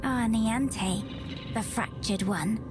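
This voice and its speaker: An actress voicing a cryptic female ghost in a video game, speaking slowly and solemnly in riddles. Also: fairly high pitch. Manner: slow, solemn, riddling speech. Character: ghostly woman spirit, cryptic, aloof. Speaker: game character. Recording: game audio with loud music behind.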